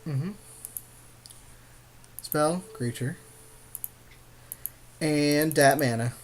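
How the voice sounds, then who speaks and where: A man's voice: fairly high pitch, drawn-out words. A man co-hosting casual card game commentary, agreeable and slangy with a jokey streak.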